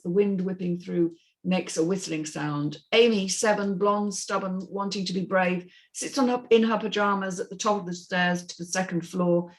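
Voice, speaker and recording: A woman reading a screenplay's stage directions as scripted narration. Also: mid-range voice.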